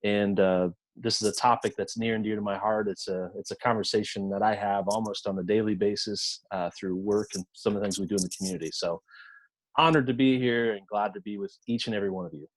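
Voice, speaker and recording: Earnest low-voiced man, dry close sound, video call panelist. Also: steady tone, fast talker, no echo, low-quality recording. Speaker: podcaster, panelist. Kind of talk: online forum introduction.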